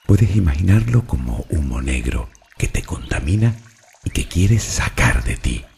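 Very deep, gravelly man guiding meditation. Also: sing-song, darkish tone, slight background noise. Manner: soothing, measured, deliberate. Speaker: guided meditation narrator. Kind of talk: slow, calm guided meditation with affirmations.

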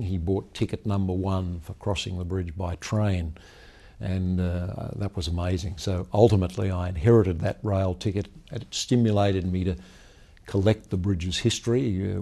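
Older founder, dark mellow voice on the lower side, measured storyteller, lapel mic in an office. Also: male voice, creaky. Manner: measured, storytelling, frequent "well, look" openers. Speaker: company founder and chief executive. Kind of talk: business interview. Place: high-rise office meeting room with city view.

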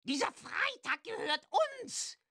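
A woman playing a boastful, mischievous old witch with a sharp, creaky voice in a children's game. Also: extremely high pitch, sing-song, exaggerated voice. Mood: defiant, commanding. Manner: cackling, theatrical, drawn-out delivery. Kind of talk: German children's audio-play acting in a game.

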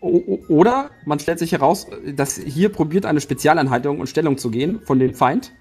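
A man's voice: a little high, normal speed, a little mellow, soft background music. A man playing a tabletop game, working out a battle plan aloud and restarting his sentences as he thinks. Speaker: player. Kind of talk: tabletop strategy discussion.

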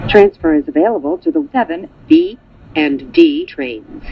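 A woman making recorded subway announcements in formal, clearly segmented phrases, over train ambience. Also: medium pitch. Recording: dull lo-fi audio with vehicle noise.

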